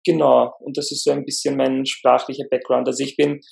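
A man from Lower Austria, a speech therapist talking as a podcast guest, pausing often with fillers; his is Turkish. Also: creaky. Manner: hesitant, many fillers, relaxed. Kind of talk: conversational interview.

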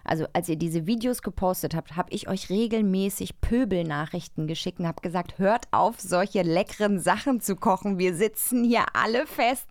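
A woman's voice: mid-range voice, moderate pace, hardly any pauses, dry recording, studio quality. A woman chatting casually as a co-host, with an emphatic, effusive way of talking. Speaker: podcast co-host. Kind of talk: casual chat.

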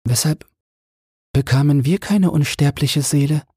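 A man voicing a young mermaid girl for a fairy-tale audiobook, soft and breathy, gentle, plaintive and yearning. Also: fairly high pitch, measured pace, no room sound, studio quality, pitched above the performer's own voice. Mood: sad, yearning.

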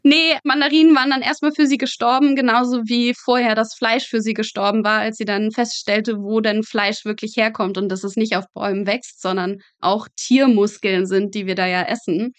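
A young woman with a fairly high voice talks as an interview guest. She explains and tells anecdotes in a chatty, casual way, recorded dry with very little room sound. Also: fairly even intonation, moderate pace, few pauses, no room sound. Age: young adult. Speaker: interview guest, medical student. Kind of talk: casual conversational storytelling.

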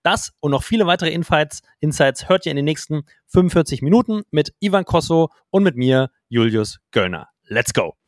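A man's voice: a little high, lively intonation, normal speed, few pauses, no echo. A man hosting a business podcast, upbeat and peppering his German with English startup terms, talking in a podcast studio. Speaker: podcast host. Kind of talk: casual interview with a scripted intro.

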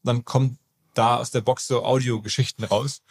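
A man's voice: mid-range voice, flat delivery, normal speed, dry recording. A man hosting a podcast, speaking in a chatty, informal way. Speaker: podcast host. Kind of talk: casual podcast talk and interview, with host-read ad.

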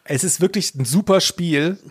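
A man's voice: on the high side, moderate pace, husky. A man hosting a podcast in casual conversation, telling personal anecdotes in a rambling, self-deprecating way. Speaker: podcast host.

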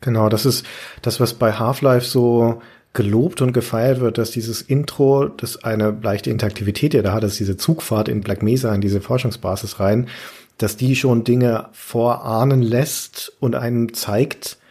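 A man's voice: mid-range voice, steady tone, measured pace, mellow, husky. A man hosting a podcast, discussing video games analytically and explaining in long, articulate sentences. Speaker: podcast host.

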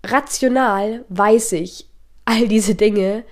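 A woman's voice: mid-range voice, takes it slowly. A young woman hosting a podcast, talking reflectively while she has a cold that makes her voice a little nasal, with plenty of fillers. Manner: thoughtful, reflective, with frequent fillers. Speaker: podcast host. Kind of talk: casual spoken podcast monologue.

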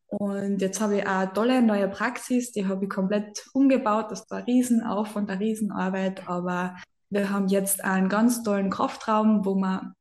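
A woman in her thirties from southern Austria with a Carinthian accent, chatting as a physiotherapist guest on a podcast, lively and self-deprecating. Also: mid-range voice, reverberant. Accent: Carinthian Austrian German. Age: 30s. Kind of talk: casual podcast interview.

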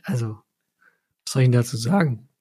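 A man's voice: mid-range voice, animated, fairly quick, warm tone, breathy edge. A man co-hosting a podcast, chatting casually and slangily with his friends. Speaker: podcast co-host. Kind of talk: casual chat among friends.

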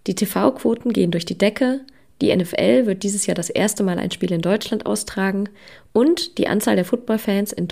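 A woman hosting a podcast, reading an intro and then chatting with a guest, her voice hoarse from a cold. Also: medium pitch, a little mellow. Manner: upbeat, with many fillers. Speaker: podcast host. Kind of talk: interview podcast, scripted intro then chat.

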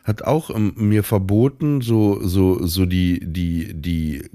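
Deep-voiced man, halting chat. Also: steady tone, dark tone, no echo, clean studio sound. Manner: halting, many restarts and fillers. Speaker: podcast co-host. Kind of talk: relaxed bedtime chat.

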